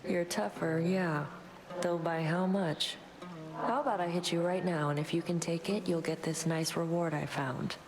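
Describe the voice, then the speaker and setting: Low-voiced brash warrior woman played by an actress. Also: steady tone, normal speed, over music, close to an everyday voice. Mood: cocky, challenging. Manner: blunt, challenging. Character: brash, combative young female warrior rival.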